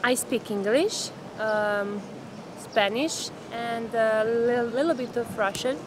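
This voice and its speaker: A young woman answers cheerfully, pausing now and then, in a fairly high voice. Street noise comes through clearly around her in the park. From Montenegro. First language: Serbian. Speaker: interviewee. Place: outdoors in a park with palm trees.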